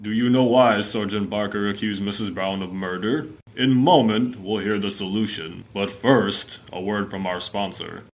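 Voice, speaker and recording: A man's voice: lowish voice, fairly even intonation, normal speed, few pauses. A man delivering scripted narration as the announcer of a 1950s-style radio mystery.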